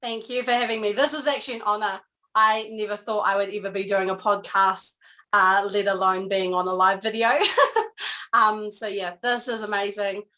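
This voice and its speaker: Earnest New Zealand woman, bright voice. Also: mid-range voice, level tone. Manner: earnest, reflective, halting with many pauses. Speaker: guest artist. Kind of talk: casual interview chat.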